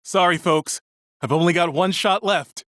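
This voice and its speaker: An actor playing a chatty, teasing street photographer in a video game. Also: male voice, sits high, measured pace, fairly bright tone, dry recording, studio quality. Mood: cheerful, teasing. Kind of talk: video game character dialogue.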